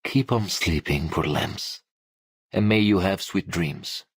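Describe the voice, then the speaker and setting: Deep, slow sinister priest. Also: male voice, husky, dry recording, clean studio sound, full character voice. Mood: smug menace. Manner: slow, pious menace. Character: sinister, falsely gentle male priest villain. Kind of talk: game voice acting.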